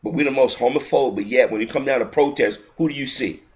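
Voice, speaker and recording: A man's voice: mid-range voice, quick pace, darkish tone. Indignant man ranting on camera. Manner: heated, indignant, repetitive ranting with frequent restarts. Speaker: video commentator. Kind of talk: ranting video commentary.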